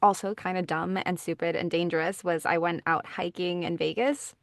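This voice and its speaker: A woman co-hosting a podcast, telling anecdotes in an informal, halting way. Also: lowish voice, fairly even intonation, vocal fry, dry recording, studio quality. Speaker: podcast co-host.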